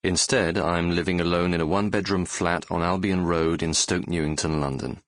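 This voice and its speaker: An actor playing a nostalgic, regretful middle-aged doctor, narrating an audiobook in a measured, reflective way. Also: male voice, low-pitched, fairly even intonation, a bit bright, no room sound, clean studio sound. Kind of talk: first-person audiobook narration.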